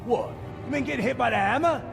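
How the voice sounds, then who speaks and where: A man playing a chatty, scatterbrained orc braggart in a video game cutscene, gravelly and theatrical, rambling with comic asides. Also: very high voice, fast, long reverb tail, music in the background. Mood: rambling, wary.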